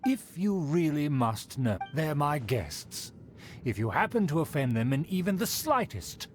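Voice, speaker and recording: A man's voice: fairly high pitch, big pitch swings, measured pace, faint music behind. An actor playing a pompous, condescending nobleman in a video game, a schemer who is easily offended. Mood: indignant.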